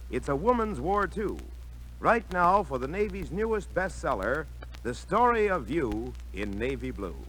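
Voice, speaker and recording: Lively American 1940s radio announcer, male. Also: fairly high pitch. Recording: noisy vintage 1940s radio recording.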